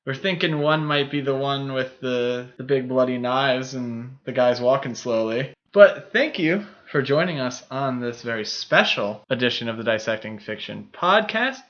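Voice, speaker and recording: A man's voice: medium pitch, animated, normal speed, hardly any pauses, a bit bright. A man co-hosting a casual film discussion podcast, talking in an enthusiastic, rambling way. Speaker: podcast co-host. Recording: dull, muffled lo-fi audio.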